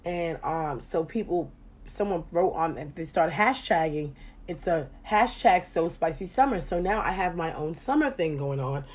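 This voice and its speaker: A woman hosts a casual chat in a low-set voice. She is animated and profane, and her voice climbs loud and high when she gets excited. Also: normal speed. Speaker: podcast host.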